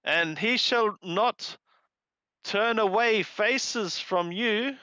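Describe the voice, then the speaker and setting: Male scripture reader and translator, higher-pitched, deliberate phrase-by-phrase delivery, dry but muffled lo-fi sound. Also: sharp tone, clear, resonant tone, no room sound. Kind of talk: scripture reading alternating Hebrew with literal English translation.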